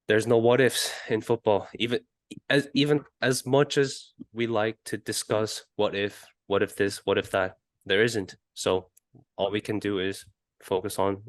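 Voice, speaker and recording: A man's voice: lowish voice, measured pace, no echo. A man hosting a podcast in casual football chat, hesitant and often searching for words. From Asia. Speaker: podcast host.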